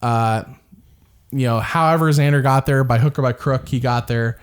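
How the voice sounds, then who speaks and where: A man's voice: medium pitch, moderate pace, clear, resonant tone. Man rambling through a casual recap. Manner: rambling, with restarts and frequent laughs. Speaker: podcast co-host. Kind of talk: casual reality-TV recap chat.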